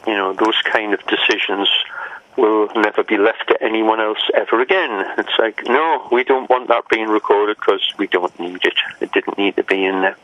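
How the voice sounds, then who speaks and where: Older English musician guest, low-pitched, wry and rambling, recorded on dull lo-fi audio. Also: male voice, moderate pace, fairly bright tone. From England. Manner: wry, laughing, rambling anecdotes. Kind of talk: casual interview storytelling. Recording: muffled lo-fi audio.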